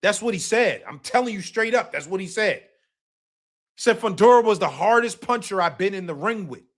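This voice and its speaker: A man hosting a live boxing talk stream, talking casually and emphatically, repeating his points, swearing and bursting into shouts. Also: high-pitched, expressive, moderate pace.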